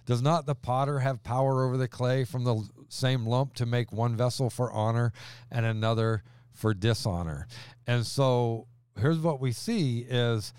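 A man's voice: mid-range voice, normal speed, a little mellow, clean tone, no room sound, clean studio sound. A man, a pastor, chatting casually and reading scripture aloud with emphasis.

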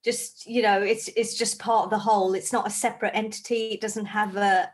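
A woman's voice: mid-range voice, level tone, fairly quick. A woman comedian who grew up in Zambia, chatting as a podcast guest in a bubbly way and thinking aloud as she goes. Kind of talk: casual interview chat.

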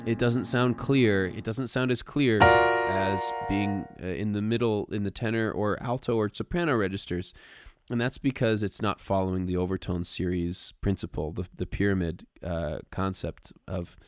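Clear-voiced man chatting emphatically, dry sound. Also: fairly low pitch, steady tone, normal speed, no echo, faint music behind. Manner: emphatic, acts out imagined remarks. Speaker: podcast co-host and music teacher. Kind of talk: casual podcast chat.

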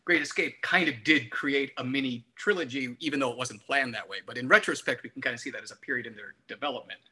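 Casting: male music podcast co-host, piercingly bright with a rough edge, informed and explanatory. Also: mid-range voice, fast.

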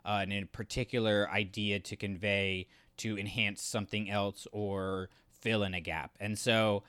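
A man's voice: on the low side, level tone, a little slow, fairly bright tone, clear, resonant tone, no room sound. A man co-hosting a podcast, giving enthusiastic, conversational opinions in a casual review discussion. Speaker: podcast co-host.

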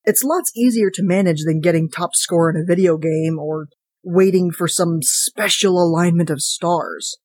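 A wry, put-upon everyday character read out by a podcast host tells a tale in a chatty, sardonic monologue. The voice sits at a middle pitch and is recorded close and dry. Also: normal speed, a little mellow, no room sound, natural voice. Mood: wry, grumbling. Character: wry, chatty, put-upon ordinary fridge-portal owner. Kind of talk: first-person short story reading.

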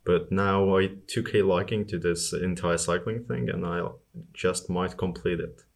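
A man's voice: low-pitched, fairly even intonation, unhurried, gravelly. A man from Estonia answering questions casually as an interview guest about his own travels.

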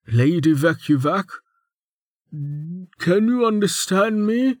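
A man's voice: high-pitched, animated, takes it slowly, no room sound, studio quality, voice pushed up, exaggerated voice. A man voicing an anxious, insistent male servant in a fantasy audiobook, with a worried, urgent manner. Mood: alarmed. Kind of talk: audiobook character acting.

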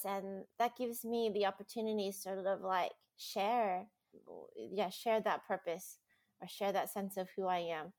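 Woman, podcast guest, warm rambling chatter with frequent laughs. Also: mid-range voice. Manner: laughs often, rambling and enthusiastic. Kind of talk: casual podcast chat.

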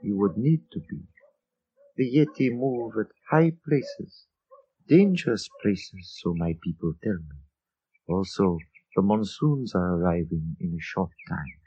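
Dignified low-voiced old lama. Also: male voice, expressive, a little slow, husky, no echo, exaggerated voice. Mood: stately, questioning. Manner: stately, formal, gentle authority. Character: dignified, courteous old male Himalayan monastery lama. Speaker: radio actor. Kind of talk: old-time radio drama acting. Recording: dull vintage radio recording.

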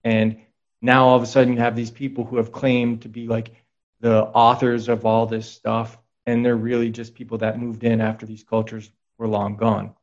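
A man's voice: mid-range voice, monotone, moderate pace, highs cut off. A man talking casually as a guest on a podcast, rambling with frequent restarts and reading out quotes. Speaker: guest researcher and author.

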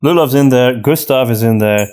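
A man's voice: mid-range voice, normal speed, darkish tone. Casual man podcaster thinking aloud. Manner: hesitant, thinking aloud with frequent "you know". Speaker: podcast host and audio drama creator. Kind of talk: casual unscripted chat answering listener questions.

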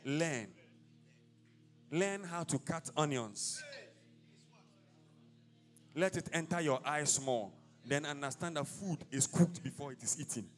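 A Ghanaian man preaches in a slightly raised voice, swinging between loud declaiming bursts and quieter conversational asides. His sermon carries through a church service. Soft music plays faintly beneath his words. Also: on the high side, takes it slowly, pauses often. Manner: declamatory, prays in rising bursts then drops to casual asides. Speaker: apostle preaching.

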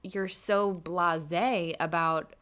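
Teasing woman with a lowish voice. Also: steady tone, takes it slowly, fairly bright tone, clean tone. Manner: teasing, joking. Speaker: podcast co-host. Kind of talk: casual chat.

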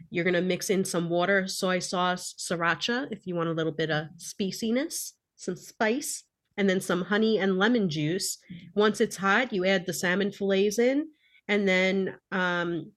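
A woman co-hosting a podcast, chatting casually and giving practical tips in a plain-spoken, instructional way. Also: on the low side. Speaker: podcast co-host.

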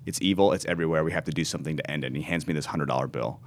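Earnest deep-voiced pastor telling his story. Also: racing, slight background noise. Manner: earnest, restarts words when excited. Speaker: pastor and ministry founder. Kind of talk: storytelling in an interview.

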